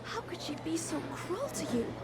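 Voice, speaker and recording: A woman playing a sweet-natured, expressive angel in a live radio drama. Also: very high voice, normal speed, full character voice. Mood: dismayed.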